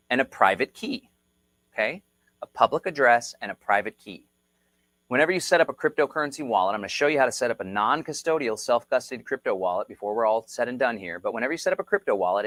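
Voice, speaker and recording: A man hosting a casual livestream explainer, talking in an energetic, repetitive, rallying way. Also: medium pitch, no echo. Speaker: host. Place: Texas.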